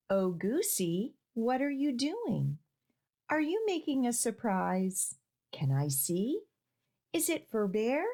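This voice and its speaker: A woman's voice: mid-range voice, darkish tone, heavily acted. A woman reading the part of an eager, childlike little animal in a storybook, pleading and full of questions.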